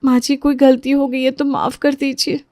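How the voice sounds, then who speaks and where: A woman plays a meek young wife in a family drama. Her voice is pitched up high and sounds plaintive and pleading, in a dry recording. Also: flat delivery, moderate pace, darkish tone, no echo, lightly acted. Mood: hurt, pleading. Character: distressed, meek young daughter-in-law, hurt and pleading. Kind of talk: Hindi family audio drama acting.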